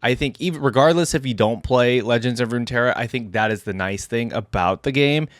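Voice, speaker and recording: A man's voice: medium pitch, fairly quick, dry recording, studio quality. A man hosting a reaction video, giving casual, conversational commentary and thinking aloud. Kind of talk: casual commentary on game voice lines.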